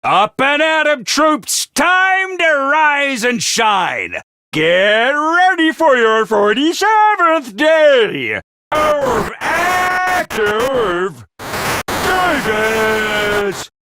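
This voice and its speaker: An actor playing a theatrical, scheming schoolmaster who barks cheerful orders at troops and then drops to low, calm menace. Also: male voice, very slow, heavily acted. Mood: shouting cheerfully. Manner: booming, swings between shouted cheer and low menace. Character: manic theatrical male drill-sergeant schoolmaster, scheming. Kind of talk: video game voice lines.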